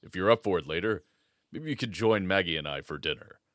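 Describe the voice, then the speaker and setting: A man's voice: low-pitched, animated, fairly quick, a bit bright, dry recording. An actor playing a friendly, joking male werewolf who guards his pack's home. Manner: laid-back, joking. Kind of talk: audio roleplay. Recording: dry close recording.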